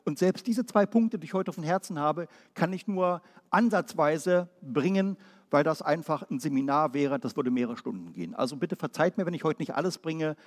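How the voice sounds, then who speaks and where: A man from Berlin preaching a Pentecostal sermon, firing off rhetorical questions and then dropping quiet and low. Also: lively intonation, moderate pace, in a large hall. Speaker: pastor.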